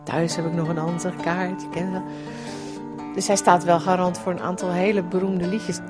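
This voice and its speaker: A woman's voice: on the low side, level tone, moderate pace, music in the background. A middle-aged woman, telling a personal recollection in a conversational, reflective way. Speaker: writer, guest presenting a personal radio piece.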